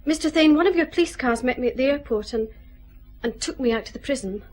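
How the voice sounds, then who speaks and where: High-voiced, tense young woman. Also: fast, a little mellow. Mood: bitter, angry. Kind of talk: radio drama acting.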